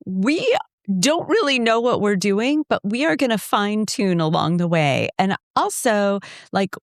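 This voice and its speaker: A bubbly woman co-hosts a casual podcast chat, gushing and effusive as she talks. Her pitch rises and falls a lot around a middle register, and the recording is dry and close. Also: very animated, measured pace, no echo. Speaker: podcast co-host.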